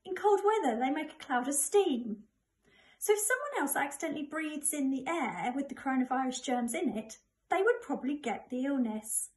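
A woman's voice: high-pitched, lively intonation, moderate pace. A middle-aged woman with an English accent reads a children's information book aloud to primary school pupils. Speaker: primary school staff member reading to pupils.

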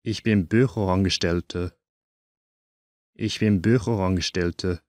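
A man teaching a language course, saying each short phrase twice in slow, careful drills. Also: low-pitched, fairly even intonation, a little mellow, no echo.

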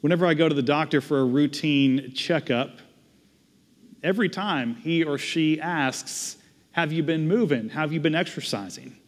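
A man's voice: fairly high pitch, moderate pace. A man in his thirties with a Southern US accent, a pastor preaching at a pulpit microphone in a conversational, self-deprecating way. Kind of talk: sermon with closing prayer. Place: large church sanctuary, at a pulpit. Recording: long reverb of a church hall.

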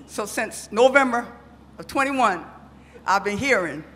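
A woman's voice: a little high, expressive, a little slow, a bit bright, slight background noise. A middle-aged woman making chatty, teasing announcements to a church congregation on a handheld mic in a large sanctuary. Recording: reverberant church hall with music.

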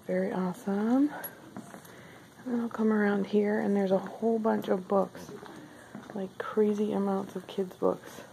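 Woman chatting away on her phone cam at a bazaar, mid pitch, faint room noise behind. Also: steady tone, measured pace, creaky, long pauses, mellow, rough recording. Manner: chatty, upbeat, filler-heavy. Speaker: vlogger. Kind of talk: casual walk-through vlog. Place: indoor craft bazaar hall.

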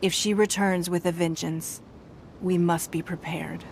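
A woman's voice: lowish voice, fairly even intonation, measured pace, gravelly, a little hiss or hum. A woman playing a composed, confident noble ruler in a video game, speaking formally and with authority. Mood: firm, confident. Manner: poised, formal, commanding. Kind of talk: scripted video game voice acting.

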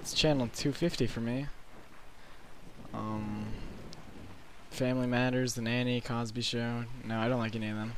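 Hoarse 18-year-old guy, late-night game commentary, meandering with ums, faint background noise. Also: medium pitch, steady tone. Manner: rambling, halting with many fillers. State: hoarse, sore throat. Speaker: gaming commentator. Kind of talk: casual gameplay commentary. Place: bedroom at night, alone.

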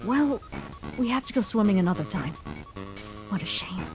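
A woman voicing a caring human child in a comic dub, a protective older sibling who speaks gently and reassuringly. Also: mid-range voice, very animated, moderate pace, over music, rough recording.

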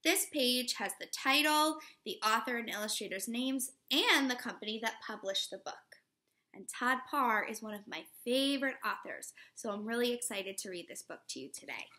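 A woman in her thirties, a teacher reading a picture book aloud to young children in an expressive, instructive way. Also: a little high, normal speed. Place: living room at home, indoors.

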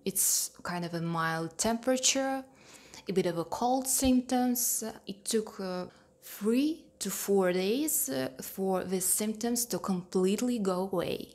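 A young woman from Russia with a thick Russian accent, speaking careful, slightly halting English in a personal vlog to camera. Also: medium pitch, roomy sound. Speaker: vlogger. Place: small home room with a piano. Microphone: handheld clip-on mic held near the mouth.

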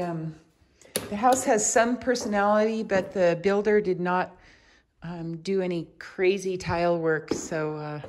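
Low-pitched woman, chatty, noisy recording. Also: darkish tone. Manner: chatty, thinking aloud while walking around. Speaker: real estate agent giving a house tour. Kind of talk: casual, unscripted walkthrough narration. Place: walking through rooms of a furnished house. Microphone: handheld camera or phone held by speaker. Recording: clearly noisy handheld walkthrough recording.

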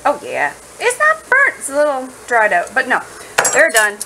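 A woman's voice: sits high, wildly swooping pitch, moderate pace. A woman chatting casually and playfully as she cooks at home, thinking aloud in an informal way. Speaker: home cooking vlogger. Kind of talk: casual chat while cooking. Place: home kitchen, indoors. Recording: noisy kitchen with food sizzling.

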